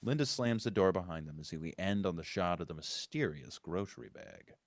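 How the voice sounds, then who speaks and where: A man's voice: sits low, lively intonation, a little fast, no echo. A man reading stage directions as scripted narration at a live table read, occasionally correcting himself.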